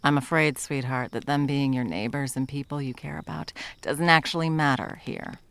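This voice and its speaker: A woman playing a stern, condescending yet tender monster hunter in an ASMR roleplay, commanding and dropping often into breathy whispers. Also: extremely low pitch, steady tone, normal speed, a bit bright, heavily acted. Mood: coldly condescending. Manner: commanding, condescending, with pet names.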